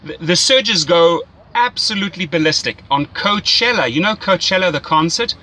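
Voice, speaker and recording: A man's voice: sits high, expressive, normal speed, pushed and bright, low-quality recording. A man giving casual, enthusiastic, salesy coaching as a rideshare mentor, often checking in with "right?". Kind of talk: casual coaching phone call.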